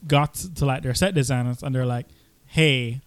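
A man co-hosting a podcast, casually recapping a movie in an animated, stammering way and acting out slangy quotes. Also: medium pitch, moderate pace, clear, resonant tone, no room sound. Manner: animated retelling, stammering repeats, acts out quoted lines in slang. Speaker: podcast co-host.

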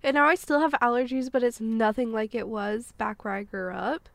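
High-voiced, congested woman chatting casually. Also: fairly even intonation, moderate pace, creaky, no echo. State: congested, sniffly from a cold. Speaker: podcast co-host. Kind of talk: casual chat.

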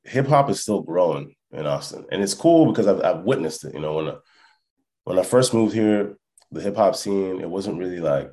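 Very low-voiced man, reflective and halting. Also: expressive, mellow. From born in France, lived in Canada and Texas, Congolese family. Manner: reflective, halting, often checks the listener follows. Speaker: hip hop musician, interview guest. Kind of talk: casual podcast interview.

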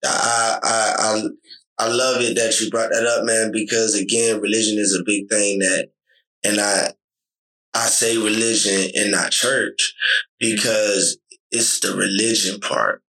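A man's voice: lowish voice, little pitch movement, unhurried, pushed and bright, rough recording. A man talking earnestly and emphatically in casual podcast conversation, often restarting his sentences.

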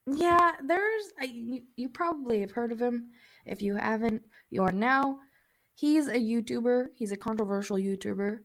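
A woman's voice: on the high side, moderate pace, a bit bright. A woman co-hosting a casual podcast, chatty and laughing between points, sounding a little stuffy from a cold. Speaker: podcast co-host.

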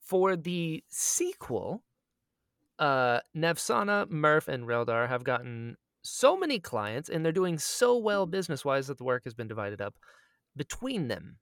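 A man's voice: on the high side, very animated, measured pace, creaky, a bit bright, smooth, dry recording, clean studio sound. A man co-hosting a casual podcast chat, talking in a self-deprecating, rambling way. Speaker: podcast co-host and audio drama creator.

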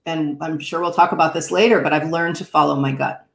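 A woman in her thirties from the northeastern United States, telling her story as an interview guest, animated and laughing often. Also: low voice, brisk, gravelly. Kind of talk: conversational interview. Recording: echoey room.

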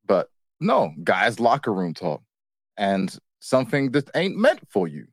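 A man co-hosting a casual relationship talk. His pitch moves around a lot as he teases and repeats his points. The sound is dry, with little room in it. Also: huge pitch swings, a little slow, no room sound. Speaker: podcast co-host.

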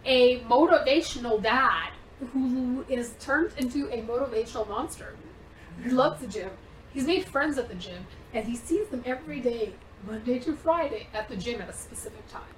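A young woman comedian chats as a guest, jumping between tangents and acting out little scenes as she goes. Her voice sits a bit high, and she sounds set back from the mic in a roomy, echoing space with a faint hiss underneath. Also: normal speed, distant mic. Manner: animated, acts out quotes, goes off on tangents. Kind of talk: casual storytelling chat.

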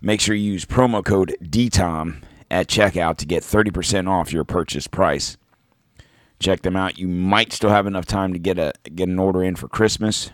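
A man hosting a podcast in a casual, rambling monologue, his voice hoarse from throat trouble, reading sponsor spots along the way. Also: low-pitched, moderate pace, dry recording, clean studio sound. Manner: rambling, self-deprecating, reads sponsor ads. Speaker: podcast host. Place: home podcast studio.

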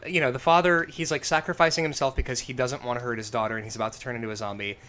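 A man whose voice is rough and worn from days of breathing smoke, talking loosely about movies. He swears freely and slips into quick acted-out quotes. His pitch sits in the middle range. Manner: animated, swearing, acts out quotes. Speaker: podcast co-host. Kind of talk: casual film podcast chat.

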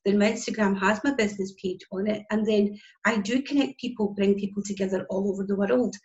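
A woman's voice: medium pitch, steady tone, brisk, a little mellow. Scottish woman, forties, interview guest; earnest, emphatic delivery, muffled lo-fi audio. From Scotland. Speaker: guest, business connector. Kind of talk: casual interview chat. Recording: dull lo-fi audio.

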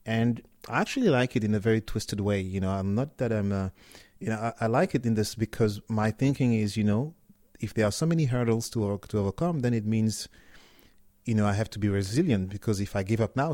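A man's voice: on the low side, fast talker, dark tone, dry recording, studio quality. A man from Cameroon, a startup founder, answering interview questions candidly with hesitant restarts.

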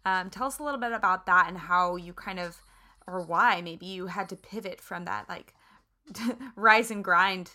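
Woman, podcast host, bubbly, casual interview, bright tone. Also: medium pitch, moderate pace. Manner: bubbly, lots of "like" and "you know".

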